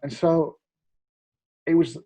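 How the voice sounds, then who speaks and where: A man's voice: on the high side, steady tone, slow pace, dark tone. A man in his forties, a guest telling his story earnestly and reflectively in a casual chat. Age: 40s. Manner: earnest, reflective, many fillers and restarts. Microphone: video call.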